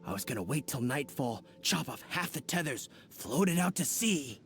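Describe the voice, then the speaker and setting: An actor playing a young masked apprentice thief who is bumbling and folksy, speaking with a slangy drawl. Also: male voice, medium pitch, sing-song, normal speed, a bit bright, faint music behind. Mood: scheming. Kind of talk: video game voice acting.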